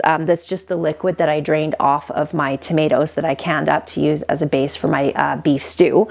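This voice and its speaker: A woman with a stuffy, nasal head cold, hosting a casual, chatty kitchen vlog at home. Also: low voice. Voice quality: nasal, congested. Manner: friendly, chatty, rambling with fillers. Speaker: homestead channel host.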